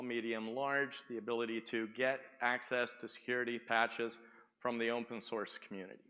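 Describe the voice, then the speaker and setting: Guy presenting at a conference, big booming voice, bright and clean. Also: clean tone. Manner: booming, asks the audience questions. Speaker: conference speaker. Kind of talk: sales presentation to a live audience. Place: conference room. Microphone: speaking without the microphone at first.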